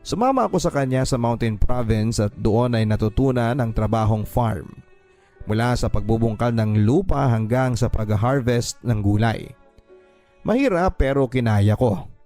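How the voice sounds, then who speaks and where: Filipino male radio storyteller, dark relaxed tone, mid pitch, sentimental measured scripted narration, background music. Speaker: radio host reading a listener's letter. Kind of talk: dramatic scripted narration of a first-person love story. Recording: music bed behind the voice.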